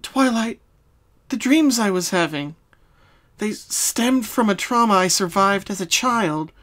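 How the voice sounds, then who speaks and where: A woman voicing a sorrowful young female cartoon pony in a fan story, speaking softly and solemnly with hesitations. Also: mid-range voice, lively intonation, voice pushed up. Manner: soft, solemn, halting. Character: sad young female pony confessing her hidden identity.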